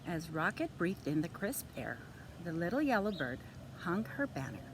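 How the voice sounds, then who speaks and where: A woman reading a children's story aloud expressively, with deliberate, teacherly phrasing. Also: big pitch swings. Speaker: storytime reader. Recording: clear background noise, vehicle rumble. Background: background noise.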